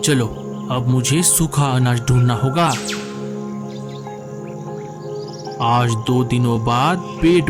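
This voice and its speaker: A man's voice: mid-range voice, natural voice. A man voicing an earnest, fretful male forest bird in a cartoon, exclaiming and thinking aloud. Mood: relieved. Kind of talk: Hindi cartoon dialogue acting.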